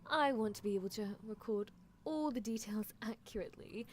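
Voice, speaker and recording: A woman's voice: a little high, a little fast, darkish tone, a little hiss or hum, lightly acted. A woman playing a playful, possessive young female mad scientist in an improvised ASMR roleplay, teasing her captive with clinical, mock-polite menace. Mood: coolly teasing.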